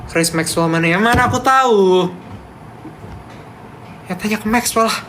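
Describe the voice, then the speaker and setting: Animated young man with Medan accent. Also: high voice, moderate pace, a little hiss or hum. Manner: animated and emphatic, ranting back at comments. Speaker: livestreamer reading chat. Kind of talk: casual live-stream chat.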